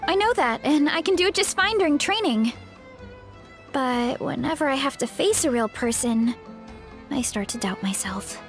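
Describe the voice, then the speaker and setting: A woman's voice: sits high, lively intonation, normal speed, faint music behind. A woman voicing a sweet, modest, self-doubting young fighter from a family orchard in a video game. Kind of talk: video game character acting.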